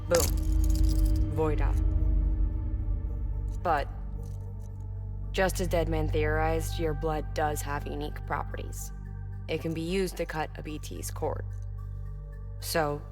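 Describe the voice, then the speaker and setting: Game role: an earnest female engineer, low voice, tender and candid, measured delivery, music underneath. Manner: measured, explanatory, short phrases with pauses. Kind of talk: video game cutscene acting.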